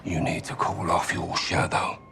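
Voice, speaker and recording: A very deep-voiced, menacing captain. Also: male voice, huge pitch swings, measured pace, creaky, very noisy, heavily acted. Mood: grim, threatening. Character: tough, angry, sardonic male British army captain. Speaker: actor in a military game cutscene. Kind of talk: dramatic game acting.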